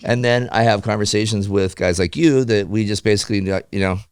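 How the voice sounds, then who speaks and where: A man's voice: lowish voice, brisk, a little mellow, clean tone, dry recording, clean studio sound. A man hosting a podcast, talking in an informal, conversational way. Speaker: podcast host. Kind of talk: casual podcast chat.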